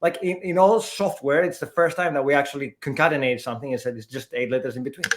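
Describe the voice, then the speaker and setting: A man's voice: mid-range voice, quick pace, noisy background. A middle-aged man from Greece, chatting animatedly as a guest on a developer livestream. He restarts his sentences often while he talks. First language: Greek. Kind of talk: casual chat on a livestream.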